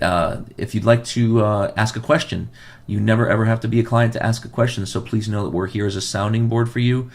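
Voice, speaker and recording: A man's voice: lowish voice, creaky. A man hosting a financial advice podcast, losing his voice, who talks earnestly and repeats key points for emphasis. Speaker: financial advisor and podcast host.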